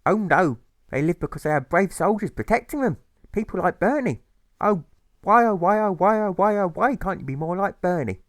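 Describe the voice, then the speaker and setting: Man acting out nagging mother, very high shrill pitch, histrionic wails, overwrought, animated, audiobook character. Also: normal speed, no echo, studio quality. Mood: exasperated, wailing. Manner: histrionic, repeats phrases in rising wails. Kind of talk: theatrical rhyming audiobook.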